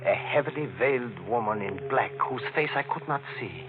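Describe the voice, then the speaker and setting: A man playing an anxious, evasive waiter with a halting, formal, brooding manner in a vintage radio thriller. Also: mid-range voice, expressive, background noise, natural voice. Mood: grim, haunted. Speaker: actor. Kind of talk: 1940s radio thriller acting. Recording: tinny vintage radio recording, music behind.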